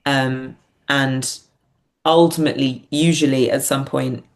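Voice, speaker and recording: Woman, creaky, candid interview voice. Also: very deep voice, fairly even intonation, unhurried. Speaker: podcast guest, playwright and performer. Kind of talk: casual, reflective interview talk.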